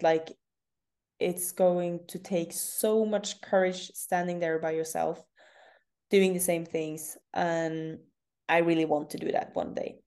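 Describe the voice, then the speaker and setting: A young woman from northern Norway talks in English with a Norwegian accent, a relaxed interview guest who laughs easily. Her voice sits low and sounds warm and mellow. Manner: candid, laughs often. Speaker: CrossFit athlete guest. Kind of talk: casual interview.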